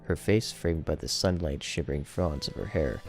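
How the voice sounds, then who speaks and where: A man's voice: rumbling low, normal speed, soft background music. A man giving a serious, dramatic reading of fiction as an audiobook narrator.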